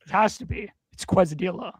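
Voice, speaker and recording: A young man co-hosting a casual hockey podcast, playful and quick to laugh. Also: sits high, slightly rough, no echo. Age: young adult. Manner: playful, laughing easily, thinking aloud. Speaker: podcast co-host. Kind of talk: casual sports chat.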